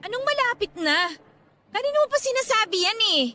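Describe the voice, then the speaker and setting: A woman's voice: extremely high pitch, animated, brisk, sharp tone, a little hiss or hum, heavily acted. A woman playing a guarded, irritable young woman in a Tagalog radio romance drama, speaking with emotional emphasis. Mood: irritated, nagging.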